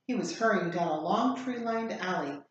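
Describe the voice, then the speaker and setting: A woman with a lowish voice reads a story aloud like a teacher, expressive and deliberate. Her voice rings in a very echoey room with faint background noise. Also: dull audio.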